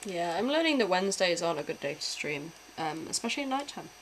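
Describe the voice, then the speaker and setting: A woman's voice: lowish voice, big pitch swings, quick pace, a little hiss or hum, poor audio. A young British woman chatting casually while reacting to videos on a stream, laughing often and swearing offhand. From Britain.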